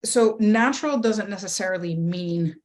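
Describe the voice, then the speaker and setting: A woman guest explaining industry matters in a casual talk, checking in with quick tag questions as she goes. Her voice sits at an ordinary middle pitch. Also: a little mellow. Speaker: guest, former industry professional. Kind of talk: casual conversation.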